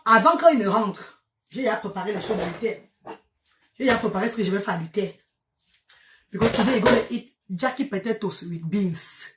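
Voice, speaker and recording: A Cameroonian woman whose mother tongue is Medumba, speaking French with a Cameroonian accent and talking to her followers in an animated, exclaiming way. She repeats herself and slips English words into her French. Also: mid-range voice, background noise. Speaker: vlogger. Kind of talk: chatty storytelling to followers.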